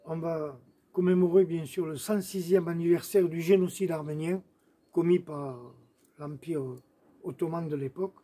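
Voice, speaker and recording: A man's voice: a little high, steady tone, moderate pace, warm tone, husky. A man speaking as a guest in an explanatory commemorative talk to camera. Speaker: guest, association president. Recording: faint background ambient noise.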